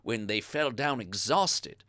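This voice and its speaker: A storyteller reading a scripted children's bedtime story in an expressive, animated way. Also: mid-range voice, slow pace.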